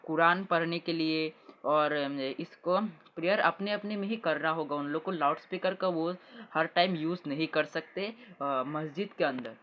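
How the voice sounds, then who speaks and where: A man with a fairly high-pitched voice and an Indian accent presents a news update. He explains things informally, moving between Hindi and English with a halting, unpolished delivery. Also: highs cut off, a little hiss or hum. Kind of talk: explanatory news update, reading and paraphrasing reports.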